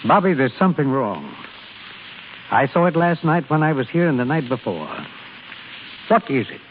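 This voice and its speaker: An actor playing a gruff but concerned old father in vintage radio drama, plain-spoken and earnest. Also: mid-range voice, big pitch swings, moderate pace, close to an everyday voice. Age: older. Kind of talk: 1930s-40s radio drama acting. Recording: tinny, hissy old radio recording.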